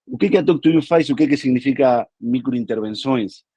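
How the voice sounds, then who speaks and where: A man from Argentina with an Argentine accent, speaking as a panelist in a relaxed, explanatory way over a video call, with frequent filler hesitations. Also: mid-range voice, a little fast, husky, no room sound. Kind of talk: panel talk.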